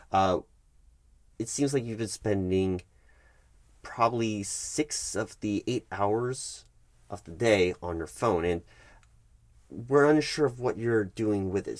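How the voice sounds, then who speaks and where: A man doing a soft-spoken ASMR roleplay as a polite, evasive HR manager, speaking in measured, hesitant, formal office phrases. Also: on the low side, dry recording. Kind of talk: soft-spoken ASMR roleplay, one-sided workplace meeting.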